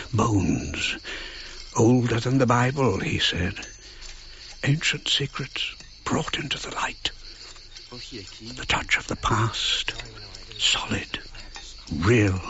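An old archaeologist, very slow reminiscence. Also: male voice, fairly low pitch, bright, forceful tone, dull audio, slight background noise, exaggerated voice. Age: older. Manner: reflective, halting, breaking into short phrases. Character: elderly British archaeologist looking back on his life. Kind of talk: radio drama memoir narration.

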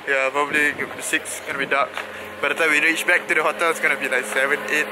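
A man's voice: a little high, flat delivery, fast talker. A man in his twenties with a Singaporean or Malaysian accent, vlogging casually to a camera at arm's length outdoors, with colloquial slang. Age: 20s. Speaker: travel vlogger. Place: outdoors at train stations and streets. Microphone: camera held at arm's length. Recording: noisy outdoor street and station sound.

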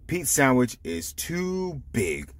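A man reading a picture book aloud to children, explaining it simply with animated asides. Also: medium pitch, slow pace, dry recording. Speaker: storytime reader.

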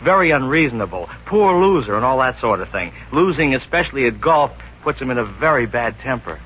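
Man playing a polite, droll assistant, bright voice. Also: mid-range voice, animated, fairly quick, lightly acted. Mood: dry, droll. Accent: American. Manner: polite, dry, understated sarcasm. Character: deferential, drily droll male assistant to a businessman. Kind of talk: 1950s American radio comedy acting. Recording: muffled old radio recording with hiss.

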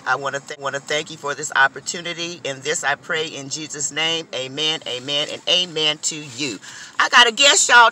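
Bright-voiced chatty woman vlogging in a car. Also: deep voice, a little hiss or hum. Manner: chatty, animated, addresses viewers as y'all. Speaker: food vlogger. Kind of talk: casual eating vlog. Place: inside a car.

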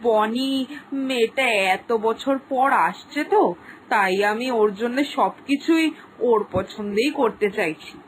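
Woman doing high voiced worried village mom for a cartoon, homely n earnest, bit of noise. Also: a little slow, close to an everyday voice, rough recording. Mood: fond, eager. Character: devoted, anxious village mother, simple homely cook. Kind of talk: cartoon story acting.

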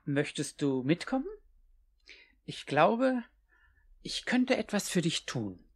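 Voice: mid-range voice, big pitch swings, slow, husky, exaggerated voice. An actor playing a cocky, friendly young male vagabond in a 1950s German radio play, talking in a casual, breezy way. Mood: breezy, generous.

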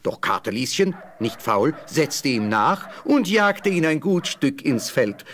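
A man narrating a fairy tale from a script as an expressive storyteller. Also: a little high, takes it slowly.

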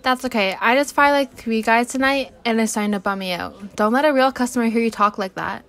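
A woman's voice: fairly high pitch, a little fast, a bit bright. A woman playing a breezy, matter-of-fact district manager in an amateur radio play.

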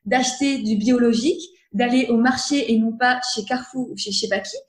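Woman, interview host, higher voice, echoey room, casual and enthusiastic. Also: a little fast. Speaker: podcast host.

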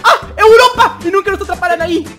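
Un joven con acento latinoamericano y voz muy aguda interpreta con entusiasmo un roleplay cómico de Minecraft, provocando y bromeando sin parar. Habla a un micrófono casero mientras suena una música de fondo bien presente. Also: male voice, extremely high pitch, fast talker. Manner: playful, excitable, teasing. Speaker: gaming roleplay creator. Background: background music.

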